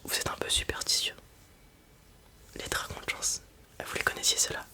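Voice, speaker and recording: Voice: normal speed, bright, forceful tone. A performer voicing a reassuring fantasy dragon breeder in an ASMR roleplay, whispering breathily and explaining things informally, with small hesitations. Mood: informal, explanatory.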